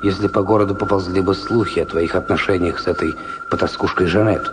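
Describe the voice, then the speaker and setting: An actor playing a cynical, familiar clown confidant in a radio drama, probing with blunt questions. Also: male voice, deep voice, steady tone, fast talker, natural voice. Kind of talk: Soviet radio drama acting. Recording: noisy, echoing backstage hall sound.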